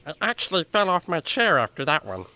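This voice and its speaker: Excitable animal sidekick, children's audio drama: eager, bright, very animated. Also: mid-range voice, brisk, a little hiss or hum. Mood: starstruck, excited. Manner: gushing, eager, wide-eyed. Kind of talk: kids' radio drama acting.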